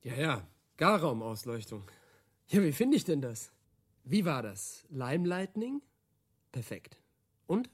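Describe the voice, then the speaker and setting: Dry sceptical interviewer in a radio play, slightly high voice, short probing questions. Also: male voice, very animated, takes it slowly, pauses often, slightly rough, heavily acted. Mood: dry scepticism. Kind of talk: conversational radio drama acting.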